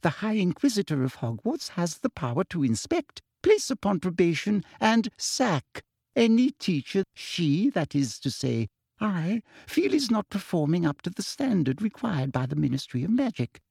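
A man voicing a prim, falsely sweet female school inspector who turns shrill and menacing. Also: sing-song, moderate pace, creaky, a little mellow, slightly rough, no room sound, studio quality, exaggerated voice.

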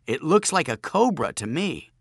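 Storybook girl read by a male narrator: young, composed, gently scornful, crisp dry sound. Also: fairly high pitch, big pitch swings, normal speed, dry recording, pitched above the performer's own voice, exaggerated voice. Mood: cool, mocking. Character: self-possessed young village girl, cool and gently scornful.

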